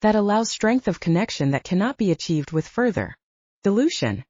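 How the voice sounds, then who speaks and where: A commanding middle-aged patriarch, voiced by a woman narrator, measured and sure of his authority. The delivery is mellow with varied intonation, in an audiobook. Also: mid-range voice, sing-song, breathy edge, no room sound, highs cut off. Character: composed, commanding father, middle-aged male leader. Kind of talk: audiobook character dialogue.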